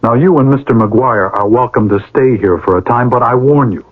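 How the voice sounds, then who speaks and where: An actor playing a stern, responsible older brother in a radio drama. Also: male voice, medium pitch, moderate pace, close to an everyday voice. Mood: stern, reproachful. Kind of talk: 1980s radio drama acting with narration. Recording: tinny old radio broadcast.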